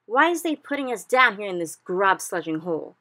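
A woman voicing furious man-eating male giants who shout and bellow. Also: medium pitch, very animated, a little fast, voice pushed up.

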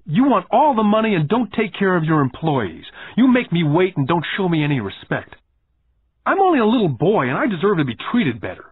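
A man's voice: mid-range voice, wildly swooping pitch, a little fast, breathy edge, no echo. A man voicing an indignant, pouting nine-year-old boy who blurts out aggrieved complaints in an audiobook. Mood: angry, nearly tearful. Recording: muffled, phone-like narrow band.

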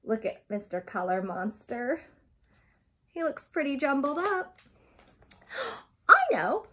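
High-pitched, animated woman reading to children. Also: a little hiss or hum. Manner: expressive, asks questions and addresses young listeners directly; dramatic gasps and emphatic, drawn-out key words. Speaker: storyteller reading to young children. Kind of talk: animated children's picture book read-aloud. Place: small furnished room at home, indoors.

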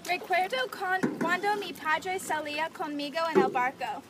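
A woman's voice: extremely high pitch, a little slow. A teenage girl playing a girl camper in a school skit, speaking careful learner Spanish. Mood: friendly. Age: teens. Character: teen girl camper Lizzie. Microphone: far camera. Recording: roomy, distant, loud noise home video.

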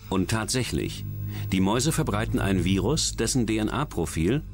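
A man reading scripted documentary narration about science and crime in a measured, dramatic, clearly enunciated way. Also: fairly low pitch, expressive. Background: music.

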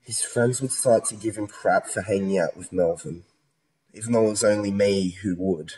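Male actor as distressed accused young man, husky voice, earnest and pleading, modern radio drama. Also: lowish voice, moderate pace, warm tone, poor audio. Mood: subdued, sorrowful. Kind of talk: modern radio play acting.

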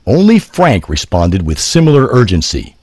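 A man's voice: on the low side, wildly swooping pitch, moderate pace, darkish tone, slightly rough, dry recording, studio quality. A man narrating a scripted audio drama as a theatrical, wordy storyteller.

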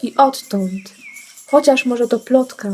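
A woman reading a rhymed children's story in measured, expressive verse on a very noisy amateur recording. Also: fairly high pitch. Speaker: storyteller in a community radio play.

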